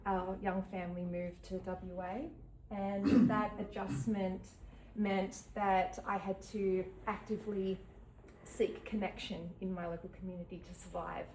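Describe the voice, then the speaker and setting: A woman's voice: medium pitch, little pitch movement. Hesitant Australian woman in a roomy gallery. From New South Wales, Australia. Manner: halting, with many ums and soft laughs. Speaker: artist giving a talk. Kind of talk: unscripted artist talk. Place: gallery event space with an audience. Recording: roomy, from some distance, faint background noise.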